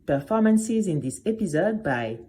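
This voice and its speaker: A woman reading scripted podcast credits in a subdued, measured manner. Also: on the low side, very animated, mellow, breathy edge. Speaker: podcast creator and performer.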